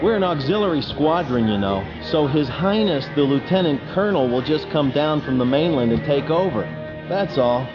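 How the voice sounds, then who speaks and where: A man's voice: a little high, animated, moderate pace, natural voice. A man playing a cocky, sarcastic, slangy fighter pilot in a video game. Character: cocky, wisecracking male fighter pilot, irreverent. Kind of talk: game cutscene voice acting. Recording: dull sound under clear music.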